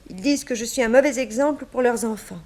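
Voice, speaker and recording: Actress as wronged young servant mother, higher voice, resigned and plaintive, plain speech, vintage radio drama. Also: quick pace, a little mellow, close to an everyday voice. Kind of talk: 1960s French radio drama acting.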